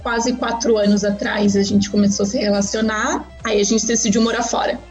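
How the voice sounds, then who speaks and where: A woman in her thirties, from Argentina and raised in southern Brazil, talks in an easy, unhurried way as a podcast guest. She tells her story at a relaxed pace, with plenty of fillers and small restarts. The recording sounds a little dull and lo-fi. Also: mid-range voice, soft background music. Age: 30s. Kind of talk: casual interview chat.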